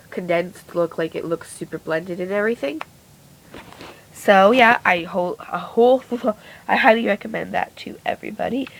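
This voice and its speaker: Brief: woman with a raspy voice, casual and rambly beauty vlogger, phone mic. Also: mid-range voice, rough recording. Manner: chatty, rambling, self-correcting. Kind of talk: casual makeup tutorial narration. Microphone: phone camera.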